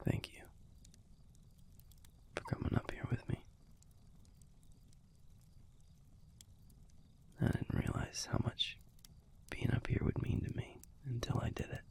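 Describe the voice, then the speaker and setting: A man playing an affectionate young alpha werewolf boyfriend in an intimate audio roleplay, talking softly and casually in a breathy, gravelly near-whisper. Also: close to an everyday voice. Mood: tender, reflective. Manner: soft, unhurried, casual, salty-tongued.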